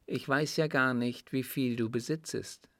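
A man's voice: medium pitch, steady tone, unhurried, a bit bright, no room sound, studio quality. A man voicing a proud young woman, a sheik's daughter in an audiobook, cool, haughty and imperious. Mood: cool, puzzled. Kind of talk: audiobook character dialogue.

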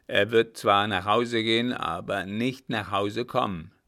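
A man reading the part of a vengeful army captain with a low, gravelly edge, biting off each line harshly and forcefully while the words come out slowly. The captain sounds grim and clipped throughout.

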